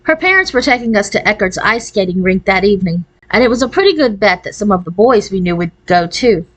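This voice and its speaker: A woman's voice: medium pitch, lively intonation, a little fast, fairly bright tone, poor audio. A woman reading a children's novel aloud as a first-person narration. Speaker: storybook reader.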